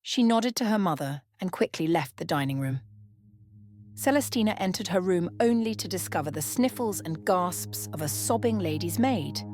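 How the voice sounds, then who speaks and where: A woman's voice: fairly low pitch, expressive, normal speed, darkish tone. A woman reading scripted story narration as the narrator. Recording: music behind the voice. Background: music.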